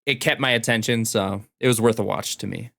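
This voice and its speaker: A man's voice: lowish voice, steady tone, fairly quick, vocal fry, fairly bright tone, no room sound, studio quality. A man co-hosting a podcast, chatting casually in an informal, slangy way. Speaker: podcast co-host. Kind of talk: casual chat.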